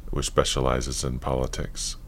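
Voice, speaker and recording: A man in his fifties from California, a podcast guest reflecting on his past in a relaxed, storytelling way. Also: very deep voice, moderate pace, slight background noise. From California, USA. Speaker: podcast guest, yoga teacher. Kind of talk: casual interview conversation.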